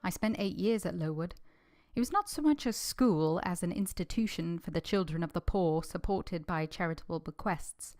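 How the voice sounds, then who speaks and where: A woman playing an earnest, modest young governess in a vintage-style radio drama, measured, polite and literary in delivery. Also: on the low side, a little mellow, faint music behind, natural voice. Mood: reflective. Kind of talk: first-person narration in vintage radio drama.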